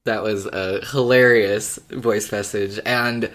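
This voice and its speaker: A woman co-hosting a podcast in relaxed, casual conversation. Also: extremely low pitch, slow. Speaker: podcast co-host. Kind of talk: casual chat.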